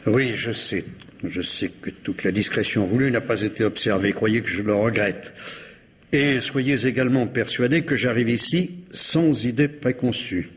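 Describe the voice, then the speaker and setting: A medium-pitched actor's male police superintendent from an old radio mystery. He is courteous and formal, choosing his words with deliberate pauses. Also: natural voice. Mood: calm, probing. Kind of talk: 1950s French radio mystery acting.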